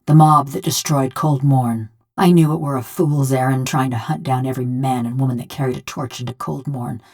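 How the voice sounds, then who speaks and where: Low-pitched, vengeful bronze construct woman. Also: steady tone, fairly quick, darkish tone. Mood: grim, haunted. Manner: folksy rustic drawl, sardonic. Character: vengeful, wry bronze construct housing a murdered village woman's soul. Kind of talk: video game character dialogue.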